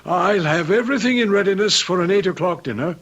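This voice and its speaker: An actor playing a mild-mannered, proper manservant in a radio drama, polite, tactful and a little hesitant. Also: male voice, high-pitched, expressive, fast talker, a bit bright. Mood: discreet, apologetic. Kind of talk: old-time radio drama acting. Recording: dull lo-fi radio drama recording.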